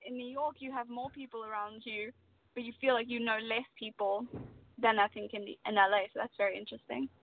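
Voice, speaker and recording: Woman, South African accent, relaxed interview guest, chatty and giggly, slightly high voice, dry tinny phone-quality audio. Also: a little fast, a little hiss or hum. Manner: chatty, laughing easily, many fillers. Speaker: singer-songwriter guest. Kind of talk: casual interview chat.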